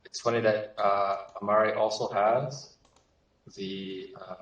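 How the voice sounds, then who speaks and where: A man's voice: lowish voice, flat delivery, a little slow, lo-fi sound. A man talking sports casually as a podcast panellist, dry and matter-of-fact. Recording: echoey, slightly distant mic.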